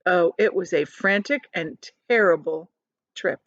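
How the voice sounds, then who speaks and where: A woman's voice: fairly low pitch, unhurried, dry recording, muffled recording. A woman reading a story aloud to children like a teacher, expressively, with simple explanatory asides.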